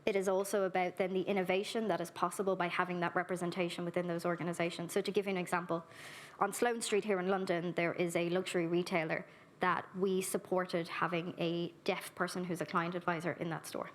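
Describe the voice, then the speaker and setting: A woman's voice: on the low side, flat delivery. A woman speaking earnestly at a conference, giving a keynote and then answering audience questions on a handheld microphone. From Ireland. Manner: earnest, warmly direct, brisk with lists. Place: conference hall.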